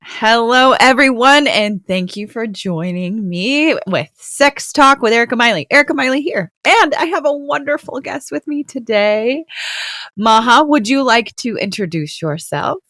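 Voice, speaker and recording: A chatty woman hosting a podcast, bubbly and quick to laugh as she interviews her guest. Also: a little high, lively intonation, bright, forceful tone, no room sound, studio quality. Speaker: podcast host.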